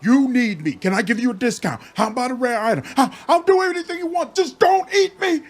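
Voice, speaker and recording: A man voicing a slippery, over-eager trader in a fantasy game, excitable and hurried. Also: very high-pitched, pitched above the performer's own voice. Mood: panicked, pleading.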